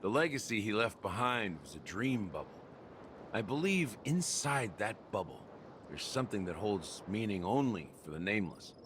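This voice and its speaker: A man voicing a cynical, weary, rough-edged security officer in a video game, with a sardonic, theatrical delivery. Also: on the low side, very animated, moderate pace, fairly bright tone, a little hiss or hum. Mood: musing.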